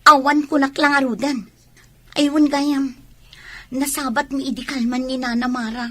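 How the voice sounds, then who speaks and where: A woman's voice: high-pitched, level tone, moderate pace, pushed and bright, dry recording. An actress playing a headstrong young woman in love in a radio drama, with an Ilocano accent. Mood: indignant.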